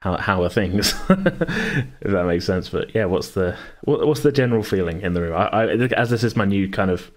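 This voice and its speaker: A man's voice: deep voice, animated, normal speed. A British man in his thirties hosting a casual chat, rambling and often cutting himself off mid-thought. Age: 30s. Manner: rambling, hedging, self-interrupting. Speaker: podcast host and game master.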